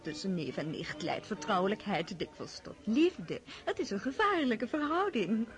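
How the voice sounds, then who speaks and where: A woman's voice: medium pitch, sing-song, moderate pace, soft background music, lightly acted. An actress playing a prying young noblewoman who asks coy, gossiping questions. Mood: probing.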